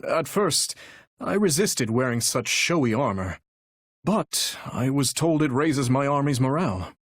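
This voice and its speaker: A man voicing an earnest, courteous young warrior king with a heavy burden for a video game, speaking in formal, measured, courtly diction with a husky voice. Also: medium pitch, animated, no echo, clean studio sound. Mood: lightly teasing. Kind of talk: video game character voice lines.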